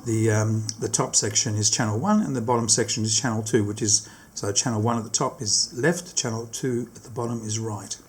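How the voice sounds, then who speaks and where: A man's voice: lowish voice, fairly even intonation, brisk, hardly any pauses, a little hiss or hum. A man giving a casual hands-on product demonstration, explaining step by step and often rephrasing his instructions.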